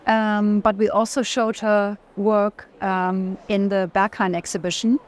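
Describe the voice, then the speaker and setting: A woman talks through art in a medium-pitched voice, unscripted and conversational. She often pauses to search for a word, then rephrases and carries on. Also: little pitch movement. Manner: hesitant, searching for words, rephrasing. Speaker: art collector in a gallery conversation. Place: art fair.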